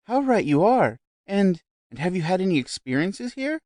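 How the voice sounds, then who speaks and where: Shrill, gushing, vivacious society woman, male reader voicing, dry close sound. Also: lively intonation, moderate pace, a little mellow, dry recording. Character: gushing middle-aged female society spiritualist.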